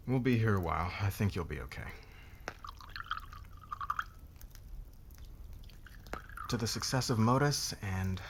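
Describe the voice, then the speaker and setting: A man playing a haughty, domineering businessman boss in an intimate ASMR roleplay, speaking in a measured, condescending way. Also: on the low side, a bit bright, slight background noise. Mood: smug, condescending.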